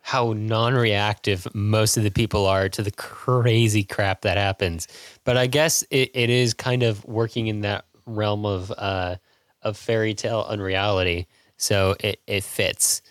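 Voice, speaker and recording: A man's voice: on the low side, steady tone, measured pace, no echo. A man co-hosting a podcast in casual film discussion. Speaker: podcast host.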